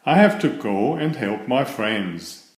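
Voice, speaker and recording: A man acts out a young male steam engine from a children's storybook. The engine is cheeky and keen, exclaiming with very lively intonation. The sound is echoey. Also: mid-range voice, slow. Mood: eager. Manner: exclamatory, enthusiastic. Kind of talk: children's storybook read-aloud.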